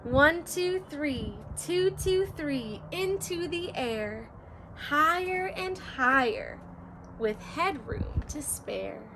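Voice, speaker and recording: A woman's voice: sits high, animated, very slow, noisy background. A woman teacher reading a rhyming children's picture book aloud, in a sing-song, rhythmic storytelling manner.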